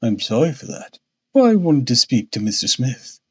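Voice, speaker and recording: A man voicing a calm, polite Victorian gentleman detective from a storybook, with a bland, coaxing manner. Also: medium pitch, moderate pace, husky, lo-fi sound.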